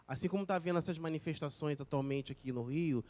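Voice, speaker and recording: A man's voice: on the high side, fast, warm tone. Man asking a careful classroom question. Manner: careful, repeats himself to check understanding. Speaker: student in the audience.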